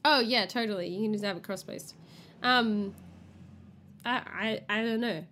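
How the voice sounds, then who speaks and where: A woman's voice: mid-range voice, moderate pace, a bit bright, a little hiss or hum. A woman with a mild Australian accent co-hosting a podcast, chatting casually with lots of laughs and hesitant fillers. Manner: giggly, hesitant, many fillers. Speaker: podcast co-host.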